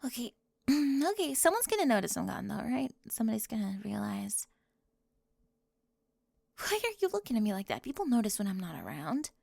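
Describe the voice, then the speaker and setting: A young woman voicing a sharp-tongued cheerleader bully for an ASMR roleplay, sarcastic and defensive, often dropping to a whisper close to the mic. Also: on the high side, sing-song, normal speed, vocal fry, no echo, exaggerated voice. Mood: anxious.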